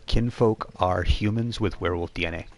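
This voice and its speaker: A man working as game master, improvising descriptive narration for a tabletop role-playing game in a halting way. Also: low-pitched.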